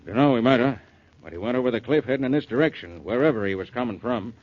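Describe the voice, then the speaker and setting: An actor playing an unruffled small-town police chief, plain-spoken with clipped folksy phrasing, in 1940s radio drama style. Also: male voice, medium pitch, expressive, fast, close to an everyday voice. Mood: reporting. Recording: muffled 1940s radio recording.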